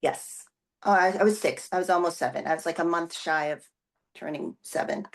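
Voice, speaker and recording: A Filipino-born woman whose first language is Tagalog talks through her story in an interview. Her voice sits low with a gravelly fry, and she pauses often with fillers and restarts as she thinks. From Philippines. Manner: thoughtful, many fillers and restarts. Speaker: executive pastor, guest. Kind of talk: casual interview answers.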